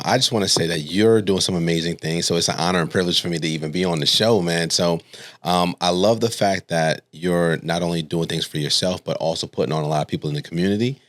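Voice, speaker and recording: A man's voice: low-pitched, fairly bright tone, no room sound. A man from Connecticut, a podcast guest in a studio, telling personal stories casually and colloquially, often checking in with the listener. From Stamford, Connecticut, United States. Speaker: podcast guest, speaker and coach.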